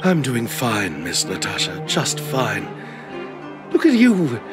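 An actor playing a courteous, kindly elderly gentleman in a video game, formal and fatherly in manner. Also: mid-range voice, huge pitch swings, slow. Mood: fond, hesitant. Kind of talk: scripted video game dialogue. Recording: music behind the voice.

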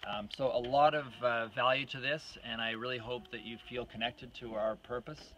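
Middle-aged male farm owner, informal explainer to camera, sharp-edged bright voice, pausing delivery, slight outdoor noise. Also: medium pitch, steady tone, dull audio. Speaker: farm owner appealing for donations. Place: outdoors on a farm beside a wooden barn.

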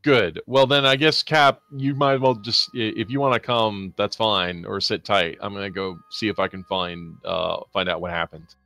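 A man's voice: on the low side, steady tone, moderate pace, vocal fry, few pauses, bright, forceful tone. A man playing a tabletop horror game, talking through his character's choices in a casual, musing way with sighs. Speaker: player.

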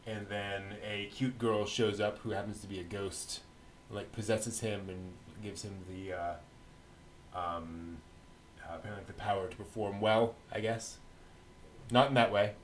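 A man with a somewhat deep voice chats casually through news as a co-host. He speaks in hesitant bits, stopping and starting. The headset recording has a light layer of ambient noise. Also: fairly even intonation, unhurried. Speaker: co-host of an anime news show. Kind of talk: casual chatty news rundown.

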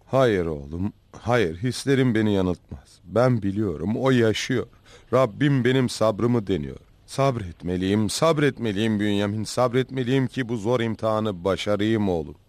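An actor playing a frail, patient old father in an animated film, sorrowful and steadfast. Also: on the low side, normal speed, no room sound, lightly acted. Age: older.

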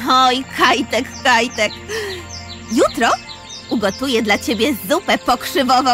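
Woman as a high-voiced, lively encouraging schoolgirl. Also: very slow, pauses often, over music, full character voice. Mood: warm, teasing. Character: bright, encouraging schoolgirl, friendly and teasing. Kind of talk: children's audio drama acting.

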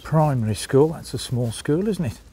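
A man's voice: fairly high pitch, expressive, normal speed, mellow, a little hiss or hum. Chatty man with a Birmingham accent. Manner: chatty, addresses viewers as folks. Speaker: vlogger. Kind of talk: walking tour narration. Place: outdoors, walking a small seaside town.

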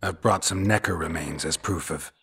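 An actor playing a gruff, stoic male monster hunter in a video game, with terse, deadpan delivery. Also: low-pitched, normal speed, full character voice. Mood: businesslike. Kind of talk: video game character acting. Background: music.

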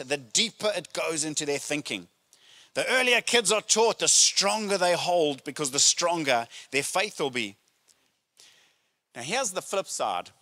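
A middle-aged man, a pastor preaching a sermon with emphatic, deliberate phrasing and pauses, speaking into a handheld microphone. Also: on the high side, pushed and bright. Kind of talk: sermon to a congregation. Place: church auditorium stage, indoors.

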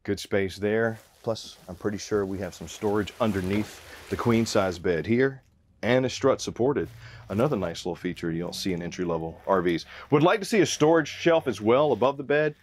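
A man's voice: lively intonation, normal speed. A man in his forties giving an upbeat, conversational walkthrough of a travel trailer, recorded on a lapel mic in a small room. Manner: upbeat, conversational salesman pitch. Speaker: RV sales presenter. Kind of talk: walkthrough product review.

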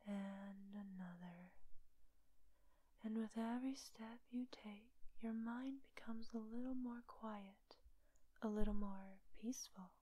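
Female villain captor played by a woman, hypnosis audio roleplay: soft mellow whisper, close dry sound, coaxing and manipulative. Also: mid-range voice, no echo, rough recording. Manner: hushed, breathy whispering, slow, coaxing instructions with long pauses.

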